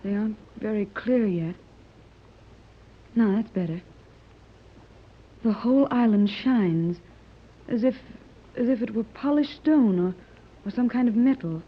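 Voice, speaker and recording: A woman's voice: mid-range voice, expressive, measured pace, pauses often. A woman playing an anxious, genteel middle-aged mother in a 1930s radio drama, polite, hesitant and emotive. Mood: tense wonder. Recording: muffled, noisy 1930s radio recording.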